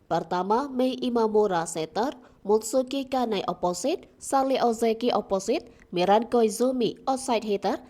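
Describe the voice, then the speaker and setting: Woman, news narration, scripted read, warm mellow tone. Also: fairly high pitch, unhurried.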